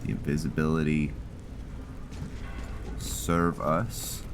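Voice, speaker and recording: A man's voice: a bass voice, steady tone, takes it slowly, music in the background. A man giving casual video game playthrough commentary, musing and thinking aloud as he roleplays. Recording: clear game noise behind voice.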